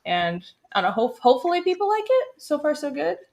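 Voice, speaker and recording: A woman's voice: high-pitched, very animated, fairly quick, creaky, darkish tone. A woman who is a native Mandarin speaker, chatting casually as a guest comic creator on a livestream, explaining things with enthusiasm.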